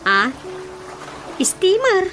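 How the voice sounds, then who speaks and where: A woman acting a high, slow, puzzled Ilocano housewife. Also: huge pitch swings, sharp tone, full character voice. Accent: Filipino, Ilocano. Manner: questioning, exclamatory. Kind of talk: Filipino radio drama comedy acting. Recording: music over the voice.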